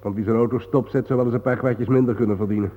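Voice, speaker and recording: An actor playing a grave, world-weary man guiding his friend through war cemeteries in a solemn, measured, sardonic radio-play manner. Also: medium pitch, steady tone, natural voice. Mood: bitter, weary. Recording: muffled phone-like old radio recording, reverberant.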